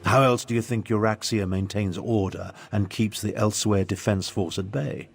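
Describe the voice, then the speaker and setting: A man's voice: on the low side, steady tone, normal speed, creaky, slight background noise. An actor playing a haughty, scheming elder nobleman in a video game, with a formal, pompous manner. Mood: grave, lecturing. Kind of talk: scripted video game dialogue.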